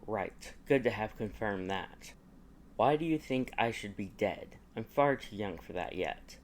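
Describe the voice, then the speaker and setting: A man's voice: on the low side, expressive, a little slow, a little hiss or hum. Man reading as haughty, sardonic young aristocratic wizard. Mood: dry, stern. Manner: dry, interrogating, formal. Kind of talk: audiobook character dialogue.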